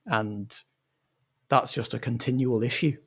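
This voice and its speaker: A man playing a pompous, earnest auteur director, husky and halting, in a mock documentary interview. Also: medium pitch, fairly bright tone. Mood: portentous. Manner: halting, portentous, repeats words.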